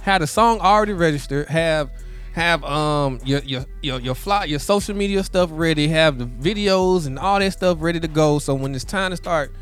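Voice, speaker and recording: A man's voice: on the high side, normal speed, a bit bright, clean tone, soft background music. An American man in his thirties in a casual panel chat, slangy, repeating words for emphasis. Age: 30s.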